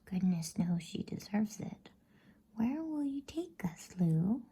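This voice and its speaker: A woman voicing a responsible, bossy older boy in a hushed, breathy ASMR storybook whisper. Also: mid-range voice, sing-song, slow, pitched above the performer's own voice, exaggerated voice. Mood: approving.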